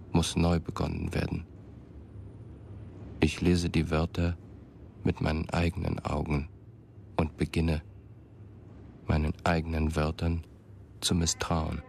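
An actor playing a brooding first-person narrator in a radio drama, speaking in a measured, subdued, introspective way. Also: male voice, extremely low pitch, monotone, gravelly, a little mellow, slight background noise, exaggerated voice. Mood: brooding, detached.

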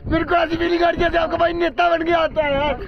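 A man's voice: very high voice, creaky, lo-fi sound, crowd noise. A young man vlogging casually to an action camera held at arm's length outdoors in the hills, joking with viewers. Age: young adult. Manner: excitable, joking, quick asides to viewers. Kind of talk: casual travel vlog to camera. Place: outdoors in hill villages and forest.

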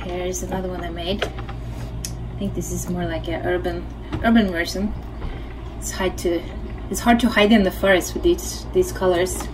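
A woman's voice: fairly low pitch, fairly even intonation, normal speed, long pauses. Norwegian-accented woman, noisy home recording. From Norway. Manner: informal, thinking aloud as she demonstrates. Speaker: puppeteer teaching a craft workshop. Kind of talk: casual craft tutorial. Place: small home studio, indoors.

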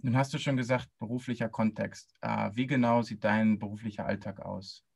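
A man's voice: on the low side, steady tone, a little fast, creaky, breathy edge. A man hosting an interview podcast, welcoming his guest and asking short questions. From Münster area, Germany. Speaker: podcast host.

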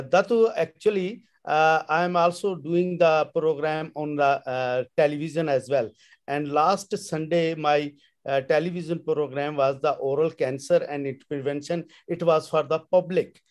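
Halting Pakistani man on a video call. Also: on the high side. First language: Urdu. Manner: halting, many fillers. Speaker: professor of oral surgery. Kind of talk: panel discussion on a video call. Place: bedroom with padded headboard, indoors. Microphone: video call from a laptop.

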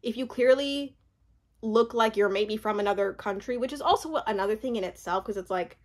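A young woman, born in Uganda, telling a personal story in a casual, animated way, laughing often and acting out what others said. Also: medium pitch, brisk. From born in Uganda, raised mostly in the US. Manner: animated, laughs often, acts out quoted speech. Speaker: vlogger.

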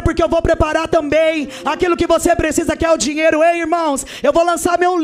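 A woman, giving an emphatic, often shouting testimony and offering appeal on a handheld mic in a large church hall. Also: extremely high pitch. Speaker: preacher and author. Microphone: handheld microphone.

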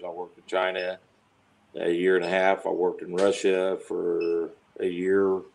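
A man in his fifties from the USA introducing himself as a guest teacher on a video call, relaxed and halting. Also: low-pitched, vocal fry, slight background noise, poor audio. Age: 50s. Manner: relaxed, halting, many fillers. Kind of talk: casual self-introduction.

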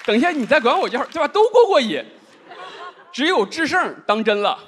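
A man in his thirties performing a deadpan stand-up comedy set on a large studio stage, speaking into a handheld microphone. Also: very high-pitched, fairly quick, a crowd in the background, background noise. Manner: dry, deadpan delivery with rhetorical asides. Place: large indoor studio stage with live audience. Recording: live audience laughter.

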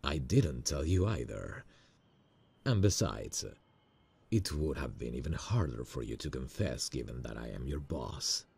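A man acts out a tender, earnest male boss in a romantic audio roleplay. His voice sits extremely low and is husky and full of fry. He speaks intimately and hesitantly, like someone nervous and in love. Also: animated, exaggerated voice. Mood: tender, hopeful. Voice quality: very deep, gravelly, husky. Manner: hesitant, slow, soft-hearted.

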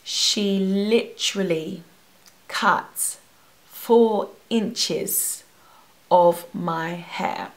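A young woman telling an animated storytime about a hair salon, filmed talking to the camera at home. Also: mid-range voice, drawn-out words. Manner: animated, expressive, gesturing a lot. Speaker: hair vlogger. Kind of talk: storytime vlog. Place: bedroom at home. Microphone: camera at face distance.

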